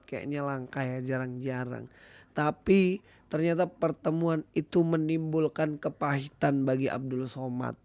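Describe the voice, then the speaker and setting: Indonesian guy hosting a commentary video, hoarse voice, kinda high, really dry sound. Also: darkish tone, dry recording. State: hoarse, recovering from illness. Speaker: YouTube host. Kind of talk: casual commentary to viewers.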